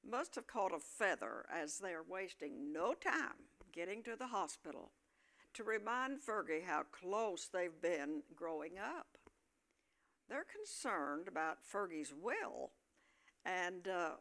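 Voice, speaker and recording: A woman's voice: lowish voice, big pitch swings, unhurried, fairly bright tone. A woman narrator reading scripted storytelling for an amateur staged play.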